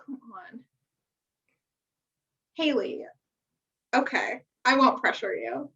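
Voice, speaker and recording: A woman's voice: high-pitched, slow pace, gravelly, bright, forceful tone, dull audio. An American woman co-hosting a podcast in casual chat, with a dry, teasing, sarcastic way of talking. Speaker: podcast co-host. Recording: slightly distant microphone sound.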